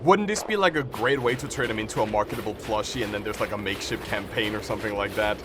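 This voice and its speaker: A man's voice: lowish voice, fast talker, music in the background. A man giving casual live commentary as a reaction streamer while watching a video. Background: audio of the watched horror video.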